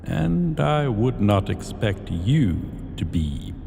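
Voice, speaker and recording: Guy doing a deep pompous dragon king, possessive, swooping lively delivery, fantasy asmr roleplay. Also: gravelly, heavily acted. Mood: haughty, intrigued. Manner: pompous, deliberate, archaic formal diction. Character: arrogant, possessive male dragon king, ancient hoard-keeper. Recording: long cavernous reverb, faint noise.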